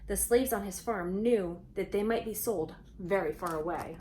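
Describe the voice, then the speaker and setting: A woman reading a children's storybook aloud expressively, pausing for conversational asides and questions to young listeners. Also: mid-range voice, darkish tone, slight background noise.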